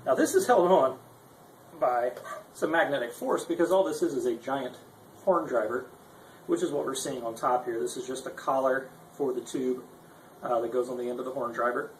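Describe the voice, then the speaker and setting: A man's voice: medium pitch, normal speed. Man explaining gear in an echoey room. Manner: rambling, self-deprecating, conversational. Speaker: presenter of a guitar gear tutorial. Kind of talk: informal unscripted demonstration. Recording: echoey room with faint noise.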